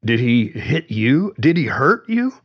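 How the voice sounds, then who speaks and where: A man's voice: fairly low pitch, measured pace, highs cut off. A man voicing a middle-aged father who talks in a plain, protective way.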